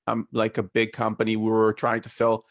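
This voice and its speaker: A gravelly, fairly low-voiced man with an Israeli accent speaks in a measured, step-by-step way over a video call. His voice sits close and dry, with no room sound around it. He pauses on fillers as he explains. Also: completely flat delivery, dry recording. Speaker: guest. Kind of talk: casual interview talk.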